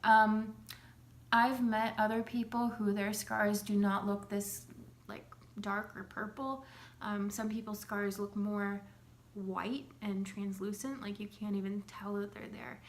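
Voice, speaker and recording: A woman's voice: medium pitch, level tone, unhurried. A young woman with a slight cold, chatting casually to camera in a loose, rambling way with many fillers. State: has a slight cold, clears her throat. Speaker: vlogger sharing her recovery. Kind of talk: casual talking-to-camera update. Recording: echoey room with faint hum.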